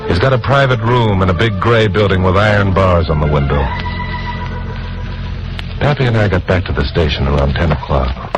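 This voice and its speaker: A male actor plays a hard-boiled newsman with a low, wry voice, telling his case in clipped lines. It is an old radio crime drama, with prominent music in the recording. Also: normal speed, lightly acted, low-quality recording. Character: tough wisecracking male newsman working undercover, narrating his case. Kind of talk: 1940s-50s radio crime drama acting.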